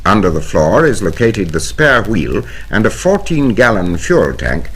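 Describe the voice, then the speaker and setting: A British man narrates a 1970 instructional soundtrack from a vinyl record. His voice is fairly low and rough-edged, with very animated rises and falls in pitch. He explains things formally and precisely, in measured scripted phrases. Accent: British English. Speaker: training film narrator.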